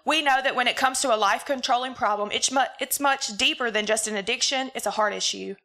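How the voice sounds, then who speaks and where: A woman in her thirties from Mississippi, introducing a women's program and reading scripture in an earnest, steady way. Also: a little high, quick pace. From Mississippi, USA. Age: 30s. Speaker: program spokeswoman.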